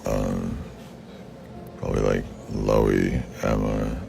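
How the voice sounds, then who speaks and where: A man in his twenties with a very deep, raspy voice, talking bluntly and swearing as he answers fan questions in a casual chat. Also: level tone, slow, dark tone, a little hiss or hum. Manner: blunt, swears a lot, low-key and mumbly.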